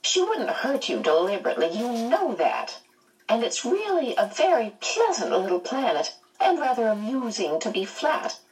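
A woman's voice: on the high side, big pitch swings, normal speed, distant mic, voice pushed up, poor audio. A woman voicing a cheerful, chatty, motherly old woman from another world in a storybook, fussing and reassuring. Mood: reassuring, brisk. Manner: fussy, reassuring, rambling.

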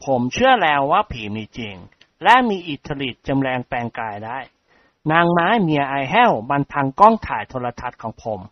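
A reader voicing a self-important male doctor and scientist who talks slow and measured. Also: mid-range voice, sing-song, no room sound, dull audio, natural voice. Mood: earnest.

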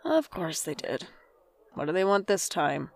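A woman's voice: lowish voice, sing-song, a little slow, vocal fry, heavily acted. A woman acting as a sardonic, stubborn older sibling in a naturalistic audio drama, with a dry, sarcastic delivery. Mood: sarcastic, bitter. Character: sardonic, guarded older sibling, stubborn and bitter about parents.

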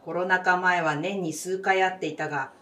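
A woman's voice: on the low side, fairly even intonation, normal speed, fairly bright tone. A woman reading scripted narration for a radio drama. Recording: distant mic with faint background noise.

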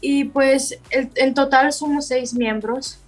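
Young woman, Spanish speaker, halting and searching for words, slightly high voice, faint music. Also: steady tone. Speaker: singer and bassist of a worship band. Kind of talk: interview guest.

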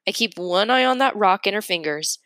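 A woman's voice: mid-range voice, lively intonation, fairly quick, a bit bright, no echo. Woman reading a children's novel, dry sound. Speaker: read-aloud narrator. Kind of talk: expressive audiobook-style reading of a children's novel.

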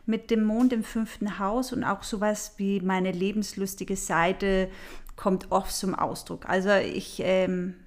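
A woman speaking German as a non-native with a foreign accent, giving an informal, unhurried explanatory talk with pauses and a playful manner. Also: medium pitch, fairly even intonation. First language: not German. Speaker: astrology host.

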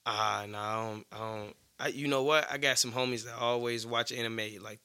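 A man in his twenties talks as a guest on a podcast in a laid-back, chatty way, often tagging his sentences with fillers. His voice sounds very bright and sharp, in an average pitch. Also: fairly even intonation, normal speed, clear, resonant tone. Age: 20s. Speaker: guest comedian. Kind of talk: casual chat.

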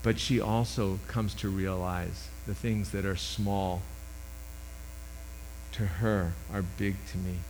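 A man in his sixties with a loud voice, preaching a sermon into a microphone with emphatic swings between loud and hushed. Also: low voice, fairly even intonation, slow, a little hiss or hum, low-quality recording.

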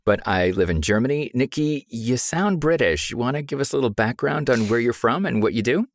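An American podcast host who talks in an enthusiastic, encouraging way. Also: male voice, medium pitch, animated, brisk. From United States. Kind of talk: upbeat podcast hosting.

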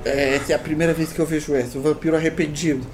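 High-pitched male tabletop gamer. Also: fairly even intonation, very fast, darkish tone. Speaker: tabletop game player. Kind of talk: casual role-play banter.

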